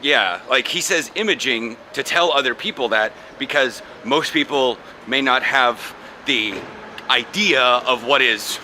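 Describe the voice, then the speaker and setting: A man's voice: mid-range voice, unhurried, bright, forceful tone. A young man from the United States chatting casually and rambling for a vlog, laughing often, recorded outdoors on city streets and in a car with a handheld camera. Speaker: vlogger. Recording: clear traffic noise outdoors.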